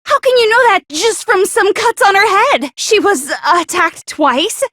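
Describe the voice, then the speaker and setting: A woman voicing a paranoid, bitter and insecure teenage girl in an anime video game, stammering, defensive and sharp-tongued. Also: extremely high pitch, lively intonation, measured pace, no echo, studio quality, heavily acted. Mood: panicked, furious.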